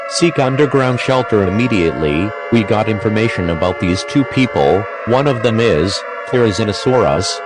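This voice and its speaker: A formal emergency alert announcer voiced by a man reading a scripted warning. The voice is fairly low, with clear noise in the broadcast audio. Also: lightly acted.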